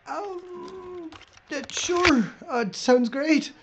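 An actress playing an anxious, hesitant on-camera presenter from a film crew in an audio drama. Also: female voice, very high voice, extremely slow, exaggerated voice. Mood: nervous, hesitant. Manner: hesitant, nervous. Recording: dull, noisy audio.

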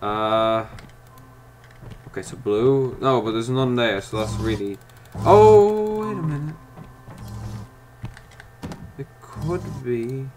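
A man's voice: medium pitch, takes it slowly, long pauses, darkish tone, a little hiss or hum. A man giving let's-play commentary, chatting to himself while he works out puzzles and snapping at the game in mock frustration. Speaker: gaming YouTuber.

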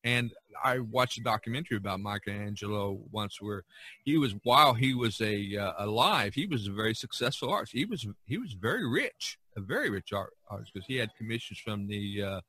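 A man's voice: on the low side, expressive, fairly quick, sharp tone, slightly rough, no echo. A middle-aged man hosting a podcast, chatting casually and ramblingly about art. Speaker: podcast host.

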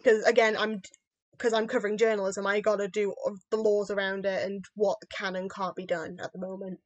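A young British woman talks in a medium-pitched voice with no room sound at all. She chats casually and explains things in plain, informal terms. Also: level tone, a little fast, no room sound, lo-fi sound. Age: young adult. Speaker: podcast co-host, journalism student. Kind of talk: casual chat.

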